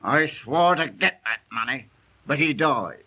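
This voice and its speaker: An actor playing a surly, unlucky old sailor turned burglar, grumbling in rough colloquial speech in a 1930s radio drama. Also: male voice, medium pitch, lively intonation, measured pace. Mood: bitter storytelling. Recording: muffled, band-limited 1930s radio recording.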